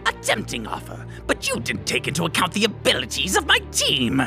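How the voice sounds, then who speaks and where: A man's voice: high voice, wildly swooping pitch, fairly quick, creaky, music in the background, exaggerated voice. A man voicing a pompous, easily exasperated paladin villain leader in a comedic cartoon, speaking grandly. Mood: haughty, wary.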